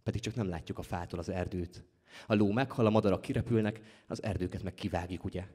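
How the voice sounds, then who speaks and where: Male slam poet, thirties, low-pitched voice, theatrical stage delivery with measured pauses and rising emphasis. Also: mellow, husky. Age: 30s. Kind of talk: slam poetry performance. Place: on stage at a live slam poetry championship in a theatre.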